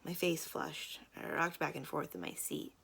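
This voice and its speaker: A woman's voice: low-pitched, sing-song, normal speed, gravelly, fairly bright tone, breathy edge. A woman reading a children's novel aloud as a storyteller. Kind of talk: scripted read-aloud narration of a children's novel.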